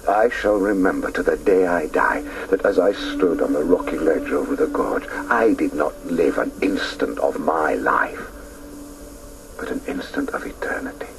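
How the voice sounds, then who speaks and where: An actor playing a reflective grown man who recalls his boyhood in lyrical, intense radio play narration, at times hushed. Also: very deep voice, vocal fry, slight background noise, exaggerated voice, poor audio. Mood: rapt, awed.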